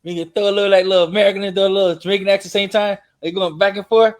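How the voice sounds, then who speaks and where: A man hosting a casual conversation, telling anecdotes in an animated way and acting out quotes. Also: high-pitched, rapid-fire. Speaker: podcast host. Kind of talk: casual chat.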